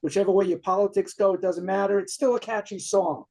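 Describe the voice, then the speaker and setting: New york guy, pretty high voice, super into his punk song pick, rambles on the details. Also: quick pace, darkish tone. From Queens, New York. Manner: enthusiastic, detail-heavy storytelling. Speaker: guest, punk singer. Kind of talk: casual music discussion.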